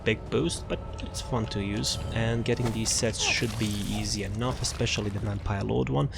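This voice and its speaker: A man's voice: lowish voice, gravelly. A man giving casual, off-the-cuff video game commentary as he presents a build guide, rambling and repeating his phrases. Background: music.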